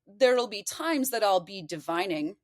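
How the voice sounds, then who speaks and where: A woman's voice: mid-range voice, animated, normal speed, no room sound, clean studio sound. A woman talking as a podcast guest, explaining things in a casual, digressive, conversational way. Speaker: podcast guest, occult practitioner.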